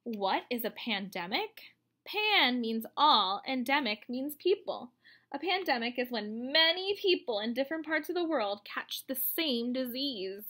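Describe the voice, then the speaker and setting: A woman's voice: sits high, expressive, unhurried, sharp tone. A woman in her thirties reading a children's book aloud, explaining simply and expressively. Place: indoors, room at home.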